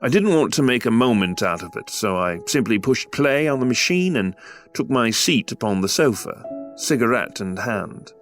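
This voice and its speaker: An actor playing a polite, reserved young English heir, speaking in courteous, formal phrases in an audio drama. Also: male voice, medium pitch, lively intonation, moderate pace, a bit bright, faint music behind, natural voice. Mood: brooding. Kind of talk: scripted audio drama with first-person narration.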